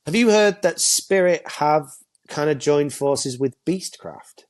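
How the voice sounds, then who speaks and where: A middle-aged man, chatting casually as co-host, a bit stuffy from a cold and quick to laugh at himself. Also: on the high side, expressive. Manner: chatty, self-mocking, laughs often. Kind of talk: casual chat.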